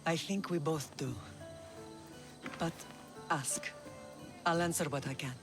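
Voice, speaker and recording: A woman's voice: low voice, slow pace, over music. A woman playing a grave, firm middle-aged mother who leads an ancient Greek island in a video game. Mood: guarded, grave. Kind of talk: video game cutscene acting.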